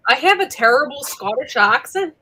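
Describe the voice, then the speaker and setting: A woman's voice: high voice, animated, moderate pace, pushed and bright. A woman in a casual chat, with a rising delivery that makes everything sound like a question.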